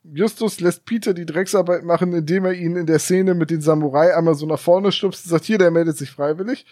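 Man, slightly high voice, wry podcast co-host, dry joking, close dry mic. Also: steady tone, a little fast, dark tone, dry recording. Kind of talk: casual chat reviewing an audio drama.

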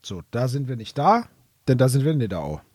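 A man's voice: mid-range voice, big pitch swings, fairly quick, darkish tone, husky, no room sound, studio quality. A man co-hosting a podcast in casual chat, with playful, slangy interjections. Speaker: podcast co-host.

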